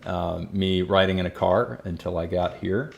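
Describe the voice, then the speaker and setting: A man's voice: low voice, normal speed, vocal fry. A man hosting a podcast, upbeat and friendly, asking his guests questions in casual chat. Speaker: podcast host.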